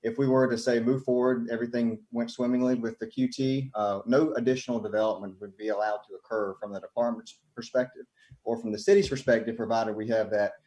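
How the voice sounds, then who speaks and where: A man giving an off-the-cuff technical explanation as a government official, measured and bureaucratic. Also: medium pitch, mellow, rough recording. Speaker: transportation department official.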